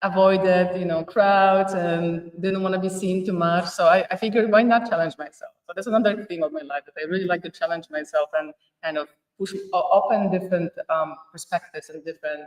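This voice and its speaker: A woman's voice: fairly low pitch, fairly even intonation, brisk, few pauses, reverberant, recorded from a distance, low-quality recording. A woman from Poland, a podcast guest talking casually about her life, laughing often and searching for words. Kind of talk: casual interview chat.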